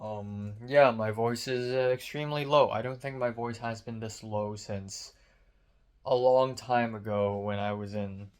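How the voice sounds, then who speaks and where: A man's voice: fairly low pitch, steady tone, a little slow, smooth. A young man from Hong Kong speaking in a casual, late-night diary vlog. His throat is sore and strained, and he rants with sarcasm, swearing and self-mockery. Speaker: vlogger.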